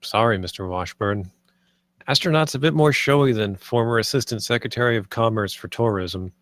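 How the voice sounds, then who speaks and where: A man's voice: mid-range voice, normal speed, a bit bright, dry recording, clean studio sound, close to an everyday voice. A man at a script table read, playing a polite, earnest theme park project leader. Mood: polite, presenting.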